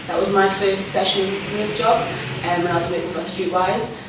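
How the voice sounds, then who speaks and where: A woman's voice: medium pitch, steady tone, moderate pace, background noise. A woman youth worker, reflecting in a loose interview with many fillers. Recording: echoey room, mic at a distance.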